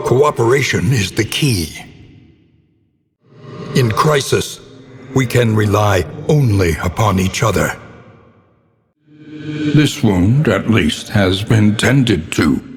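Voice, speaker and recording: A man playing a grave, brooding narrator of a gothic horror game, speaking with solemn, theatrical menace in a husky, gravelly voice. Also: on the low side. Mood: solemn, resolute, then solemn, measured. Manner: solemn, ominous, theatrical, measured delivery. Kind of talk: scripted dramatic game narration. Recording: long cavernous reverb.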